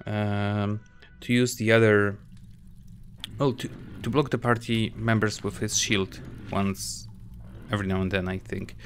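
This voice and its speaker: Let's play host, male, low voice; casual, halting commentary with lots of fillers and self-corrections. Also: creaky. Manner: hesitant, many fillers and restarts.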